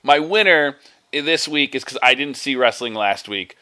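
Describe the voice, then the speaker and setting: Podcast co-host, man, bright forceful tone, rambling and animated. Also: medium pitch, normal speed. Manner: rambling, animated, restarts often. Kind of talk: casual wrestling chat.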